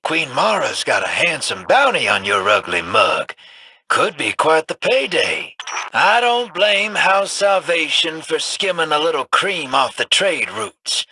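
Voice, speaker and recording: A man's voice: on the high side, very animated, a little slow, bright, forceful tone, breathy edge, dry recording, exaggerated voice. A man voicing a wry, roguish smuggler in a video game, who teases and boasts with sly humor. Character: smooth-talking roguish male smuggler.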